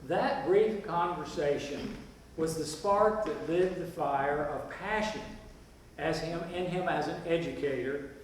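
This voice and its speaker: A man's voice: fairly high pitch, unhurried, natural voice. A man playing a folksy small-town narrator, introducing and telling the story in a staged play reading. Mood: folksy, welcoming. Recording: echoey hall from far off, hiss.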